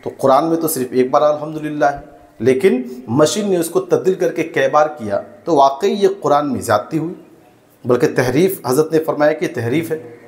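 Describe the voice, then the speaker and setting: A man hosting a religious explainer, addressing viewers in a measured, didactic and cautioning way. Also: a little high, a little mellow. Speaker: host and religious commentator.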